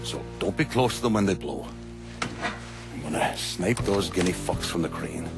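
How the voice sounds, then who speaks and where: An actor playing a reckless, sardonic Irish gangster with a blunt, menacing manner in a game. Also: male voice, deep voice, lively intonation, moderate pace, gravelly, loud music behind the voice. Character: reckless Irish gangster, sardonic, vengeful hard drinker type. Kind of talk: game cutscene acting.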